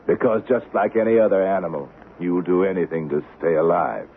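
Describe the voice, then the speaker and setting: Old Western avenger, deep and scornful, deliberate radio-play delivery, dull old recording. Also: male voice. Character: vengeful, bitter wealthy old Westerner, cold and domineering. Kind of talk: 1970s radio drama acting. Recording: dull, noisy vintage radio drama recording.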